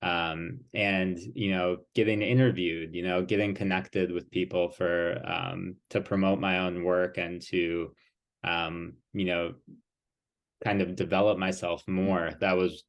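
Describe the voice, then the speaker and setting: Deep-voiced man, thoughtful and hesitant. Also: level tone, unhurried, creaky. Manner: thoughtful, hesitant, many fillers. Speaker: author and guest. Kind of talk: interview conversation.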